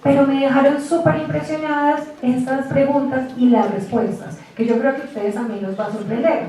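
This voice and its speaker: A woman giving a university lecture, chatty and lively, often asking the class rhetorical questions. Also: high-pitched, a little fast. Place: lecture room. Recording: echoey hall, distant mic.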